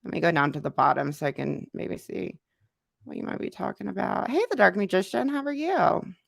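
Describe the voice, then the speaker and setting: A woman's voice: lowish voice, lively intonation, brisk, gravelly, dry recording, clean studio sound. A woman live streaming and chatting casually, congested from a cold, talking with emphasis and repeating words for stress.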